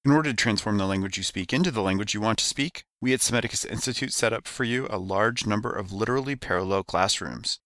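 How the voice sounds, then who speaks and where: Guy reading a fake ad deadpan, kinda low voice, talks really fast. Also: no room sound, clean studio sound. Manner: deadpan sales pitch, rattling off disclaimers at high speed. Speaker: announcer. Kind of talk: mock advertisement narration.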